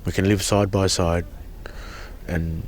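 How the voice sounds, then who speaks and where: Deep-voiced earnest man. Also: level tone, slow, darkish tone, a little hiss or hum. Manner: earnest, repeats and restarts words. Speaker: protester at a sacred site camp. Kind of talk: impassioned personal testimony.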